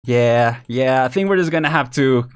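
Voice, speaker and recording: A man chatting casually and rambling as he thinks out loud on a live game stream. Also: mid-range voice, moderate pace, smooth.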